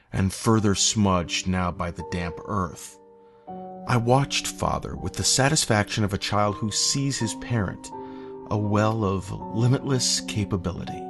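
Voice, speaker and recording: Male horror narrator, lowish register, measured and solemn delivery, faint eerie music bed underneath. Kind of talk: scripted first-person horror narration. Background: eerie background music.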